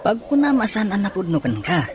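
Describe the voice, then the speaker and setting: Brotherly male friend, consoling, expressive pitch, radio drama, noticeable noise. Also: fast. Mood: concerned. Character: male drinking buddy consoling a heartbroken friend. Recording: noisy, with ambient sound.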